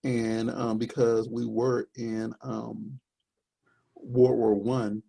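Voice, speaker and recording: A young man with a deep, gravelly voice talks casually on a podcast. His tone is husky and mellow, and he wanders through his points with many fillers. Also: level tone, extremely slow. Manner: rambling, searching for words with many fillers. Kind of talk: casual chat.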